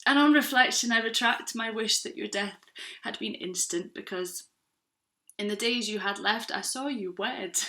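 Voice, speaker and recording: A woman's voice: medium pitch, normal speed, bright, forceful tone. A woman in her twenties from Scotland performing a spoken word poem with earnest, rhythmic delivery. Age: 20s.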